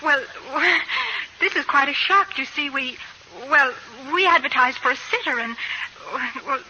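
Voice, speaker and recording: A flustered housewife in a 1940s radio comedy. Her voice sits a little high, with hesitant, stammering politeness. The old broadcast is clearly noisy. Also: a little slow, bright, forceful tone, heavily acted.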